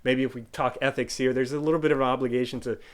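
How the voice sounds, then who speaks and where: A man's voice: mid-range voice, fairly even intonation, racing. A man with a Southern Californian accent, a guest creator in a casual interview, talking animatedly and thinking aloud. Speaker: guest, online video creator.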